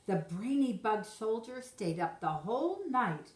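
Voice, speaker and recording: Female teacher-storyteller: fairly high voice, unhurried, expressive rhyming read-aloud, roomy sound. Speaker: teacher reading aloud to children. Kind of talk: expressive storybook narration in rhyme. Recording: roomy echo.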